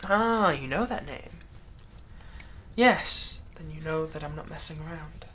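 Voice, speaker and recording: Man playing a vengeful vampire-to-be thrall, bright sharp voice, threatening, theatrical, breathy whispered drops, audio roleplay, some background noise. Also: on the high side, animated, unhurried. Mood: menacing, determined. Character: vengeful male thrall slave turning himself into a vampire. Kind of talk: roleplay audio acting, close ASMR monologue.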